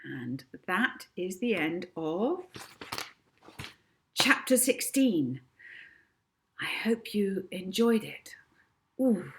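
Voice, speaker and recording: A woman narrating a children's novel as its author, in an expressive audiobook style. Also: mid-range voice.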